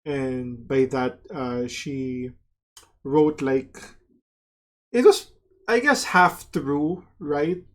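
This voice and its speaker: A man giving casual reaction commentary as a video host, slangy and halting. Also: medium pitch.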